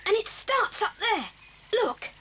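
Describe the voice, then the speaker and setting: A woman playing an eager, confident boy adventurer with a brisk, encouraging manner in a 1980s children's audio drama. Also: extremely high pitch, big pitch swings, sharp tone, slight background noise, full character voice. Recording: cassette drama with long reverb.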